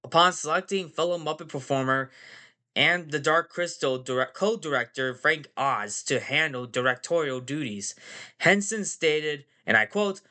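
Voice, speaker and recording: A man's voice: on the high side, normal speed, bright, forceful tone. A man hosting a casual movie podcast, nasal from a cold, rambling and repeating phrases and fillers. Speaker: podcast host.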